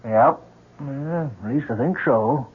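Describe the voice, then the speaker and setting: An actor playing a terse, folksy small-town New England antique shopkeeper in a 1970s radio drama. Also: male voice, medium pitch, takes it slowly, husky. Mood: guarded, matter-of-fact. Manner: terse, folksy, clipped yeps and nopes. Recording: muffled lo-fi 1970s radio broadcast.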